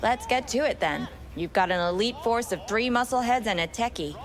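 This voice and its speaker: A woman's voice: a little high, a little fast, close to an everyday voice. An actress playing a jaded, scornful woman plotting a gang takeover in a video game, with a dismissive, sardonic manner. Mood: scornful, businesslike.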